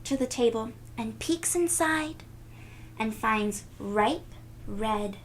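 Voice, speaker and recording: A woman with a fairly high voice reads a children's story at a very unhurried pace. She is expressive and pauses to explain things simply to young listeners. There is some noise in the background. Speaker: read-aloud storyteller. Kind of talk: children's picture-book read-aloud with asides to listeners.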